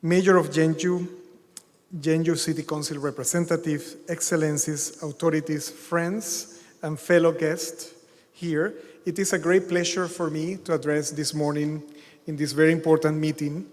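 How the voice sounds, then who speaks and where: A man's voice: a little high, flat delivery. Middle-aged man lecturing in a hall. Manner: halting, many fillers and restarts. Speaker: keynote speaker. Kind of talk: conference keynote lecture. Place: large hotel conference hall. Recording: long hall reverb.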